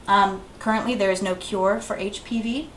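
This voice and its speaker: A woman's voice: medium pitch, fairly even intonation, moderate pace. A woman giving an informal, plainly instructive health presentation as a clinic educator, repeating key advice. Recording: roomy echo, faint background noise.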